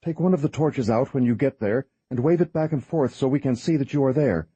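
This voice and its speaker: A narrator putting on a determined woman, her voice raised but calm and soft. She speaks with steady resolve through this tense audiobook standoff. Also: medium pitch, a little fast, husky, no echo, muffled recording, voice pushed up, lightly acted.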